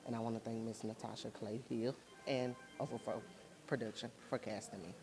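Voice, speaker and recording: Mellow man being interviewed. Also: fairly low pitch, little pitch movement, brisk, faint music behind. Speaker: actor, interviewee. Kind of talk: interview talk.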